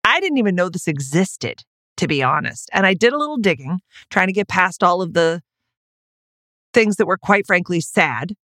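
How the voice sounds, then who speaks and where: This is a woman co-hosting a casual chat show, joking at her own expense and laughing often. Her voice sits on the low side but moves all over the place. The sound is close and dry. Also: animated, moderate pace, a bit bright, no echo. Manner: playful, self-mocking, laughs often. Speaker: podcast co-host.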